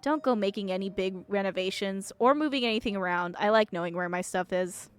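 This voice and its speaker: A woman's voice: medium pitch, fairly quick, clean tone, soft background music, lightly acted. A woman voicing a stern, scowling woman in a video game, clipped and controlling.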